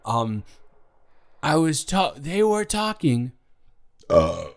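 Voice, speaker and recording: A man's voice: on the high side, huge pitch swings, takes it slowly, heavily acted. A man playing a drunk young college roommate who rambles and stumbles sheepishly through a love confession, in slangy improvised audio drama. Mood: drunk, teasing. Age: young adult. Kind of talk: improvised roleplay audio drama, one-sided conversation.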